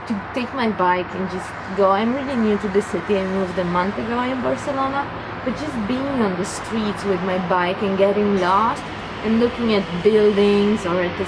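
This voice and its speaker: A young woman from Romania with a Romanian accent, chatting through a casual book review in halting English with many restarts. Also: medium pitch. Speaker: book vlogger. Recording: clear background hum.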